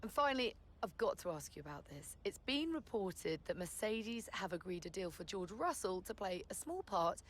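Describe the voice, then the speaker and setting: A woman's voice: medium pitch, very animated, moderate pace, few pauses, a little hiss or hum, natural voice. An actress playing a poised, keen woman motorsport presenter, polished and conversational as she interviews and commentates in a game. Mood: inquisitive.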